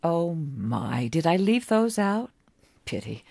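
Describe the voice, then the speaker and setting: A woman voicing a gentle, wistful, very old man in a story, musing kindly with little rhetorical asides. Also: on the low side, very animated, slow, creaky, heavily acted.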